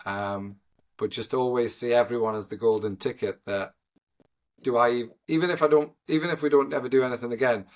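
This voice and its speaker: A man's voice: medium pitch, steady tone. Rambling Geordie man in his forties. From North East England. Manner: rambling, full of "you know" and filler pauses. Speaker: guest. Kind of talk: casual podcast conversation.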